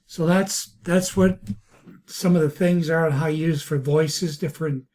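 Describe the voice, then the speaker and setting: A man hosting a casual software demo video, talking in a rambling way and repeating himself. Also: fairly high pitch. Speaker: video host, reviewer. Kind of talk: casual screen-recorded software demo narration.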